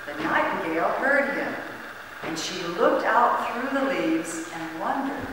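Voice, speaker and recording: Expressive woman storyteller in an echoey hall. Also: on the low side, a little slow. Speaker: narrator in a dramatic reading. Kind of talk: theatrical storytelling narration. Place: large church hall, distant camera. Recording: very echoey hall, distant handheld camera, noisy.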